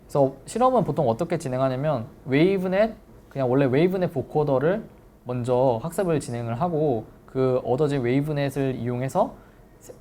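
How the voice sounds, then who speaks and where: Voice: medium pitch, lively intonation, fairly quick, warm tone, a little hiss or hum. A lecturer giving a technical seminar, explaining step by step in polite but informal speech.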